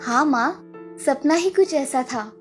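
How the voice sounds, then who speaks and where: A man's voice: very high-pitched, lively intonation, takes it slowly, a bit bright, faint music behind, voice pushed up, exaggerated voice. A man voicing a proud, spoiled young village woman who is haughty and dreamy, for a cartoon. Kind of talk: cartoon voice acting.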